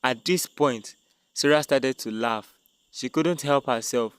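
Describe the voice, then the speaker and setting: A man's voice: mid-range voice, a little slow, clear, resonant tone, no echo, clean studio sound. A man narrating a dramatic scripted tale as a storyteller.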